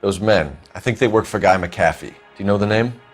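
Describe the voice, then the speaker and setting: A man plays a police detective in a dramatic crime game. His voice is low and he stays composed, courteous and matter-of-fact while probing. Music runs clearly behind him. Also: fairly quick, lightly acted. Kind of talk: dramatic video game acting.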